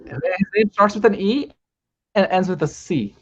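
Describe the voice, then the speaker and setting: A man's voice: high voice, expressive, normal speed, a bit bright. A man hosting a casual chat on a video call, rambling and chatty. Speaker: stream host. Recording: lo-fi call audio.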